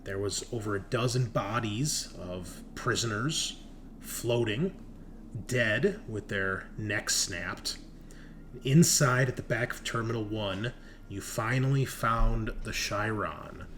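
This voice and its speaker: A man with a fairly low voice recaps a horror roleplaying session as game master. He tells it dramatically, leaning on key words for emphasis. There is some noise and ambience behind him. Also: fairly bright tone. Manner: dramatic storytelling with emphatic stresses. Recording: noticeable background noise.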